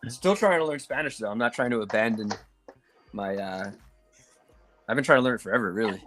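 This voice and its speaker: A man's voice: medium pitch, brisk, soft background music. Easygoing man in casual chat. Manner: relaxed, laughs easily. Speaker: guest, comics creator.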